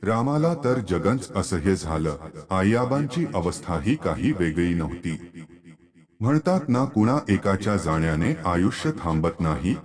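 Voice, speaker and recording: A man's voice: sits low, sing-song, measured pace, crowd noise. A man reads a sentimental moral story as scripted narration.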